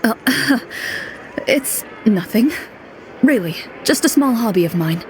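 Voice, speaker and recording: A woman playing a composed young duelist and game master in a video game, speaking in a measured, polite and formal way. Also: a little high, expressive, noisy background. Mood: earnest, composed. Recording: music playing behind the voice.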